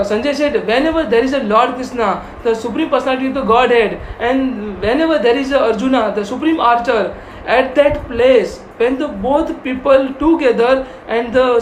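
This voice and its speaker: A spiritual teacher with an Indian accent giving a devotional lecture in an earnest, repetitive, halting way. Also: medium pitch. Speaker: spiritual teacher and video host. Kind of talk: devotional lecture with verse recitation. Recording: roomy echo, faint background noise.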